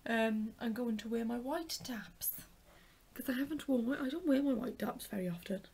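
Croaky young woman vlogging to camera. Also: a little high, normal speed, a little mellow. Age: young adult. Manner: rambling, chatty, self-correcting. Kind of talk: casual vlog chat to camera. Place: home bedroom, then a car. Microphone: handheld camera at arm's length. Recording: background noise from the car.

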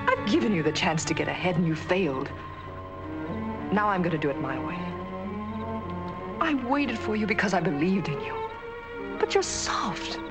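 An actress playing a restless, ambitious young nurse in a 1940s film, pleading and emotional. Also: female voice, fairly low pitch. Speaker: nurse torn between love and money. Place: outdoor park bench at night on a film set. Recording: old film soundtrack with music.

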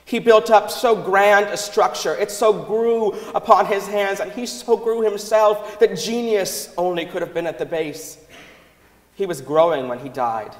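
High-pitched man reading in an echoey hall. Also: unhurried. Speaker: reader in a staged reading. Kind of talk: dramatic reading of historical texts. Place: large concert hall stage before an audience. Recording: echoey concert hall with long reverb.

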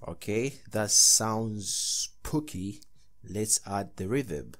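A man with a somewhat low voice presents a tutorial. He narrates instructions step by step as the host. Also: animated, takes it slowly, a bit bright.

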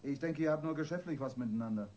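An actor playing a wry, self-assured police detective in a radio crime drama, colloquial and needling. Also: male voice, on the high side, fast, dark tone, natural voice. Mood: needling, sardonic. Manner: colloquial, sardonic. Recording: radio play with faint noise.

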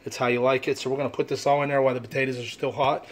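A man's voice: mid-range voice, flat delivery, very fast. A man in his forties hosting a casual home cooking demonstration in his kitchen, talking in an easygoing, rambling, self-deprecating way. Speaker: home cooking channel host. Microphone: handheld camera filming his hands.

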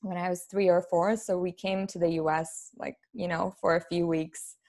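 Low-pitched woman, informal storyteller. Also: level tone, moderate pace, darkish tone. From Poland. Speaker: podcast guest, human design expert. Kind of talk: casual interview chat. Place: plain room at home, on a video call.

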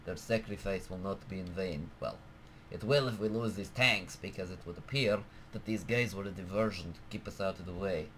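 Low-voiced gamer in jokey mock-Russian accent. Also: male voice, normal speed, hardly any pauses, slight background noise, low-quality recording. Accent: put-on Russian accent. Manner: jokey, excitable, bursts of alarmed shouting. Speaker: gameplay commentator. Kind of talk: casual let's-play commentary.

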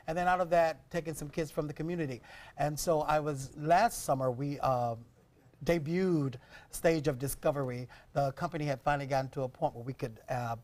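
A man's voice: mid-range voice, a little mellow. A middle-aged man, a theatre director, speaking as a guest in a talk show interview on a lapel mic. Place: television studio.

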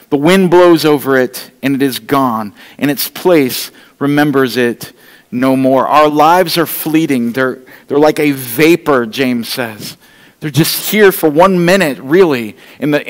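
A middle-aged man preaches to his congregation in an earnest, pleading tone, his voice sitting a bit high for a man. The sound carries the long reverb of a church hall. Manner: walks the stage holding an open Bible. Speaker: pastor. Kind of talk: sermon. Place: church stage, large room indoors.

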